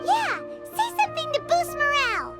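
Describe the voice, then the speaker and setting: A woman voicing a tiny, eager, childlike fairy companion for an anime game, squeaky and perky. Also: wildly swooping pitch, moderate pace, loud music behind the voice, full character voice. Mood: excited. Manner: perky, exclamatory.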